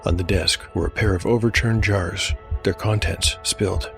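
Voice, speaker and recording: Male narrator, low voice, dramatic measured storytelling, dark fantasy audio drama, music underneath. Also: steady tone, gravelly. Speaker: narrator and host.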